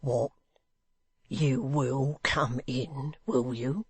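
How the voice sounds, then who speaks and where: A man voicing a sly, scowling old male hangman who speaks slowly and low, at times in a whisper. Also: fairly even intonation, slightly rough, dry recording. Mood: menacing. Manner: slow, low, wheedling, sometimes whispered.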